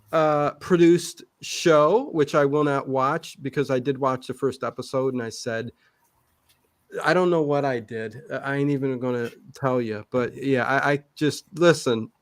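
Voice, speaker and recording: A man's voice: medium pitch, a little slow, no room sound. A man chatting casually as an interview guest, rambling and hesitant, often repeating words as he works out a thought. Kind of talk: casual personal chat.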